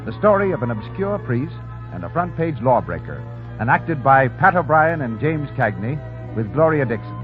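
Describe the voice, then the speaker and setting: A man's voice: medium pitch, very animated, normal speed, soft background music. An American man working as a 1930s radio announcer, with a formal, theatrical showman's delivery. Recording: tinny, band-limited 1930s radio broadcast.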